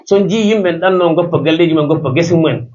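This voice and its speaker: A man's voice: a little high, fairly quick, a little mellow. Earnest man testifying from a distance. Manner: earnest testimony, switching languages. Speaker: activist and speaker. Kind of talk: public speech. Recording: muffled, distant recording.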